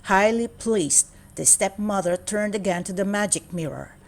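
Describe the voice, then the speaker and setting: Measured woman reading a fairy tale aloud. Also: mid-range voice. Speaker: storyteller. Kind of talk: read-aloud children's fairy tale narration.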